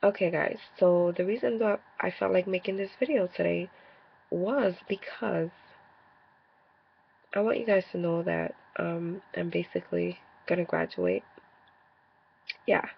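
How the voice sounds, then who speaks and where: A woman's voice: on the low side, lively intonation, a little slow, gravelly, pauses often, breathy edge, muffled recording. A woman in her thirties with a cold and a stuffy nose, talking frankly and a little defensively in a rambling casual video rant. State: congested from a cold. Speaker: vlogger.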